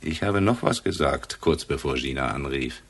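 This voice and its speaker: A man's voice: rumbling low, lively intonation, moderate pace, exaggerated voice. A man acting a shrewd, laconic police inspector in a radio crime drama, speaking in a terse, probing, dry way. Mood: dry, pensive.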